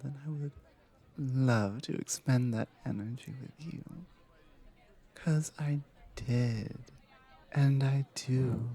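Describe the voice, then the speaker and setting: A confident, flirtatious former classmate, acted by a woman in an intimate ASMR roleplay. The voice is warm and dark, with a soft, breathy edge. The delivery is slow and halting, coaxing and teasing the listener. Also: extremely low pitch, exaggerated voice. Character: confident, flirtatious female former classmate confessing long-held desire.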